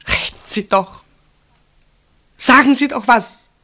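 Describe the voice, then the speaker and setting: An actress in a German radio play, playing an anxious woman who fears for her sister and speaks in urgent, breathy pleas. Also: high voice, slow, pushed and bright, full character voice. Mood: desperate pleading.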